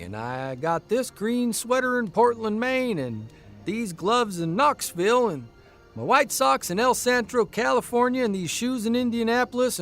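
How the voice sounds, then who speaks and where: Give me a wry, lively, gravelly newspaperman. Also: high-pitched, full character voice. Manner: wry, exasperated, folksy. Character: grumpy, weary 1930s newspaper columnist and husband. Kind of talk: audio drama acting.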